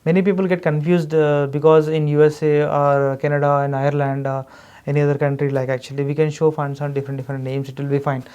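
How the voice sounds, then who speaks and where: A man's voice: a little high, level tone, quick pace, a little mellow, clear, resonant tone, lo-fi sound. A man with an Indian accent explaining things as a consultant in a podcast interview, emphatic and wordy. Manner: emphatic, wordy explaining, often repeats "actually". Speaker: education consultant guest.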